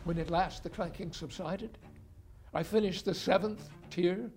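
Guy doing a gothic horror killer telling his tale, high voice, formal and theatrical, gloating, music behind. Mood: grimly satisfied. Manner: deliberate, theatrical, formal narration with loud cries. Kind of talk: dramatic reading of a gothic horror story.

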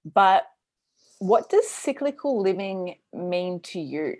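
A woman's voice: mid-range voice, very animated, slow pace, a little mellow. Woman, podcast host, coach, enthusiastic, chatty, laughs easily. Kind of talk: casual podcast interview.

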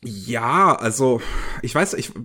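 Male co-host, relaxed review chat, hesitant delivery with lots of fillers. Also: mid-range voice, slow. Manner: hesitant, searching for words with many fillers. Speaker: podcast co-host reviewing anime.

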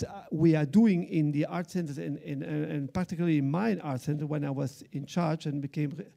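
Middle-aged Flemish-accented man, arts director at a panel; mellow, gravelly voice, halting delivery. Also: fairly high pitch. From Belgium. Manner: halting, many fillers and restarts. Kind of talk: panel discussion remarks.

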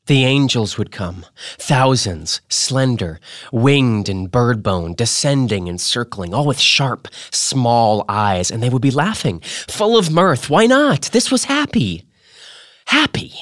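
A man reading a memoir aloud as a podcast host, in a theatrical, emphatic style with dramatic pauses. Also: mid-range voice, dry recording, studio quality. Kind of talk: expressive dramatic literary reading.

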